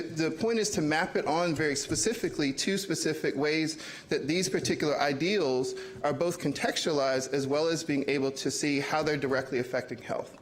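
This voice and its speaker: Academic guy giving a panel talk, fairly high voice, lots of "sort of" and "you know".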